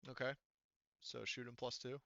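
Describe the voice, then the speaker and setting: A man's voice: medium pitch, flat delivery, normal speed, gravelly, sharp tone, no room sound, dull audio. A man running a tabletop role-playing game as game master, talking rules casually and swearing freely.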